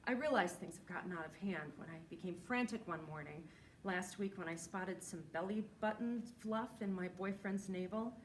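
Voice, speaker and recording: A woman's voice: lowish voice, moderate pace, slight background noise, poor audio. A woman reading letters aloud as a performer, in a dramatic, comic stage style.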